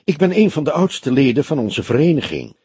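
Audiobook role: composed, frank older lady played by a male reader, soft-spoken, thoughtful, animated pitch, close dry recording. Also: husky, dry recording. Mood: reflective, matter-of-fact. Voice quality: soft, friendly voice. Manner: measured, genteel, thoughtful. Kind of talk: audiobook character dialogue.

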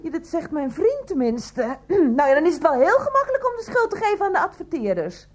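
A woman's voice: very high-pitched, very animated, a little fast. An actress playing a distraught, whining young newspaper delivery girl in a radio play, tearful and self-pitying. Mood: indignant complaint. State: tearful, sobbing.

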